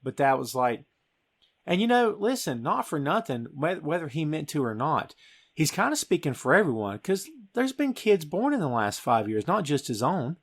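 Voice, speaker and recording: A man's voice: on the high side, very animated, moderate pace. A middle-aged American man hosting a podcast, talking about film in a casual, emphatic, conversational way. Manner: emphatic, conversational, addresses listener as "dude". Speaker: podcast host.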